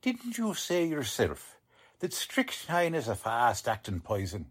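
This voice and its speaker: A man's voice: medium pitch, wildly swooping pitch, unhurried, slightly rough, exaggerated voice. A man playing a stubborn, cocksure working-class police lieutenant in an old-time radio drama, talking in tough, ungrammatical slang. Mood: cocksure, blustering.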